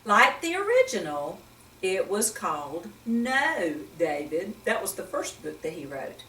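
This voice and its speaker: An older woman talking to young children as a storytime reader, in a gentle, teacherly manner full of questions to the listener. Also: mid-range voice, sing-song, a little slow, slight background noise. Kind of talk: storytime talk to young children with Bible lesson. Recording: roomy echo, distant microphone.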